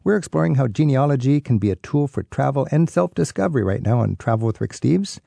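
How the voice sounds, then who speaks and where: Relaxed, gravelly male radio interviewer. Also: mid-range voice, quick pace, no echo. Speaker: radio travel show host. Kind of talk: conversational broadcast interview.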